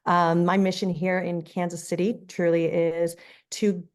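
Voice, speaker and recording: A woman's voice: on the low side, flat delivery, a little slow. A woman from Afghanistan, a non-profit executive director, speaking earnestly and with passion on a video call panel. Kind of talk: panel introduction, personal story.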